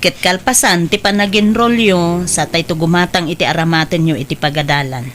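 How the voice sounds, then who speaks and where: A woman playing a kindly, caring mother in an audio drama, gentle and solicitous, with a Filipino accent. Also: lowish voice, a little fast, a little hiss or hum, natural voice. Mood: caring, motherly.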